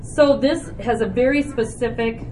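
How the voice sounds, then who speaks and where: A woman presents a public health budget to a county committee, walking through the figures item by item with frequent fillers. She sounds set back from the microphone, and a steady noise runs under her voice in the meeting room. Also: on the high side, moderate pace. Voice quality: loud voice. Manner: explanatory, organized, with frequent "so" and "uh". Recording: distant, noisy room recording.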